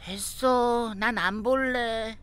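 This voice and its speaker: A woman voicing a young, childlike, stubborn and excitable boy bus in a children's cartoon. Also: fairly high pitch, takes it slowly, very noisy. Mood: sulky. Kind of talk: children's animated series voice acting. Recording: loud children's music behind the voice. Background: children's cartoon music.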